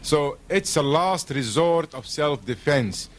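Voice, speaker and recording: A man's voice: on the high side, animated, slow. A man speaking as a radio guest in a formal political discussion, earnest and emphatic, with diplomatic phrasing.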